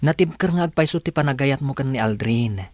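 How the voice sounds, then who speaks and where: A man's voice: medium pitch, animated, a little fast, no echo, lightly acted. An actor playing a concerned, persistent young male neighbour in a radio drama, with an earnest, insistent delivery. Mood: earnest warning.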